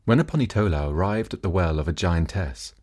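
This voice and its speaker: A man's voice: low voice, lively intonation, brisk, darkish tone. A man reading a fable aloud as a scripted storybook narration.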